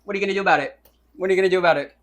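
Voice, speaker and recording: A man's voice: sits high, very fast, crowd noise. A man co-hosting a review podcast, talking casually with irreverent, crude joking. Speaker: podcast co-host reviewing short fiction. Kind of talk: casual banter and review chat.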